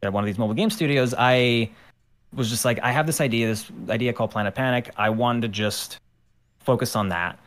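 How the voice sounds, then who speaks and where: A man's voice: mid-range voice, brisk, a bit bright. A man from Ukraine, a guest on a podcast, chatting casually about his art career with lots of fillers and restarts. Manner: rambling, with many fillers and restarts. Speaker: guest, animator and musician. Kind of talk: casual interview chat.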